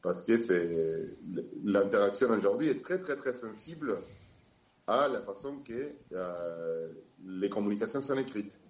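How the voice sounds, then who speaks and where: Man, Argentine accent, Spanish native, fairly low voice, hesitant, echoey room. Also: animated, a little slow, a little mellow. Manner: hesitant, searching for words. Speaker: panel speaker. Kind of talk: public discussion.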